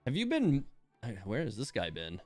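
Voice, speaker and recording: A man livestreaming a game, musing aloud in a wry, halting, casual way. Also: mid-range voice, wildly swooping pitch, darkish tone, faint music behind. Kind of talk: casual gaming stream commentary.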